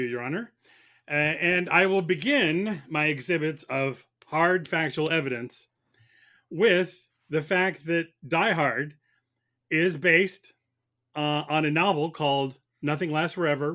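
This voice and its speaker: Man, fairly high voice, mock prosecutor, wry, mock-serious, comic courtroom argument. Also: a little slow, sharp tone. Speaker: guest playing mock prosecutor.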